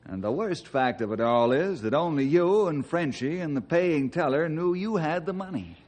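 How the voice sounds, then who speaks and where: A man playing a steadfast, fair-minded ranger in an old-time radio western, speaking earnestly and deliberately. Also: on the high side, lively intonation, darkish tone. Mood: grave, reassuring.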